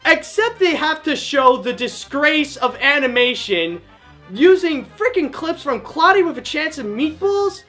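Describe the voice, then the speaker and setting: A man's voice: very high-pitched, animated, a little slow, pushed and bright. A man in an unscripted, indignant rant, stammering and repeating himself. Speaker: animation reviewer. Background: background music.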